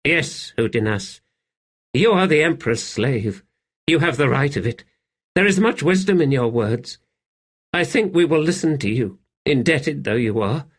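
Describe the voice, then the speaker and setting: A man's voice: medium pitch, lively intonation, a little slow, pitched above the performer's own voice. A man voicing a timid, obedient palace servant in an audiobook, earnest and hesitant. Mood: earnest, reassured. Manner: tentative, hesitant. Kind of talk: audiobook character acting.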